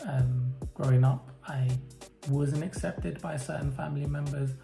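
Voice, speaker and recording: A man from the UK answering interview questions about his life, hesitant and reflective, with many pauses and fillers. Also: on the high side, steady tone, vocal fry. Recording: roomy echo, faint music behind.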